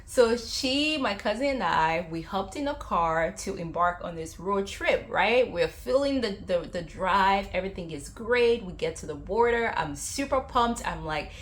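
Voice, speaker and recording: A young woman from Liberia, a travel vlogger, talking casually to viewers and telling stories with animated quoted lines. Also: mid-range voice, a little slow, few pauses. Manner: chatty storytelling, acts out quotes with lively jumps.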